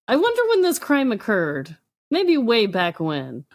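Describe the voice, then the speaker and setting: A woman riffs casually on a comedy podcast, playful and giggly. Her voice is fairly high and animated, yet slow in pace. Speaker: podcast panellist. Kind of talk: casual comedic reacting and riffing.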